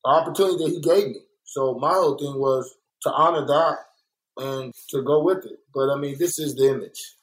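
A man's voice: a little high, a little fast, poor audio. A young adult man from Los Angeles, speaking earnestly and reflectively in an interview, repeating points for emphasis. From born in Kansas City, raised in South Central Los Angeles. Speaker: muralist and guest. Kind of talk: candid conversational interview.

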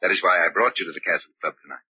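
A man's voice: sits low, rapid-fire, husky, dry recording. An actor playing a smug, cruel, controlling older father with suave, pompous, mock-affectionate speech in an old radio drama. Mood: smugly genteel. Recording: muffled, tinny old radio broadcast recording.

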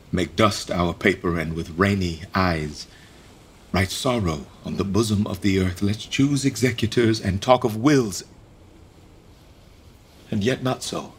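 An actor playing a grieving, deposed king, husky and slow, swinging between hushed lines and cried-out ones. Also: on the low side. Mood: mournful. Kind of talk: stage audio drama acting.

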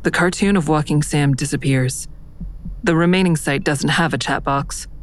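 A woman's voice: low voice, normal speed, close to an everyday voice. An actress playing a haunted, wary woman investigator who narrates her own story in an audio drama, terse and confiding. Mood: uneasy.

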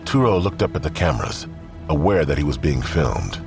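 A man's voice: extremely low pitch, very animated, normal speed, creaky, music in the background. A man narrating a scripted audio drama in the role of storyteller.

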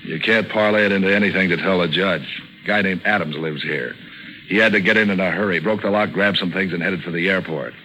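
An American actor playing a cynical, wisecracking private detective in deadpan, sardonic 1940s radio noir style, on an old broadcast recording. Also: male voice, sits low, a little fast, low-quality recording. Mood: needling. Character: wisecracking, cynical hard-boiled male hired detective narrating his case. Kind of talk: 1940s radio noir acting, first-person narration.

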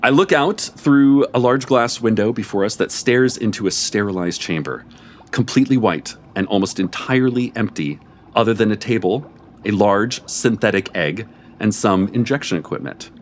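A man reading a comic story aloud before a live audience, with expressive, animated storytelling and a deadpan edge. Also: lowish voice, normal speed, a little hiss or hum. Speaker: story reader.

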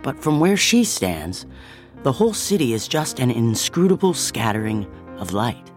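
A man playing a wistful narrator, reading literary audio drama narration in a calm, measured, lyrical way. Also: mid-range voice, faint music behind, natural voice. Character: calm, wistful omniscient narrator of a city story.

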